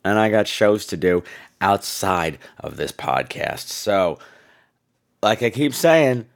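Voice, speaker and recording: A man hosting a podcast in a casual, playful, campy way, hoarse and gravelly with laryngitis. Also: fairly low pitch, very animated. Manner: campy, playful, theatrical drawn-out words. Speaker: horror podcast host.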